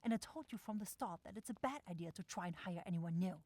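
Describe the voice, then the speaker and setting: A woman's voice: lowish voice, fast, a little mellow, dry recording, lightly acted. A woman playing a self-assured, impatient demon shopkeeper in a fantasy ASMR audio roleplay, with a theatrical, coaxing salesy manner. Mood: irritated. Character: bossy, salesy demon-like soul shop keeper sister, impatient.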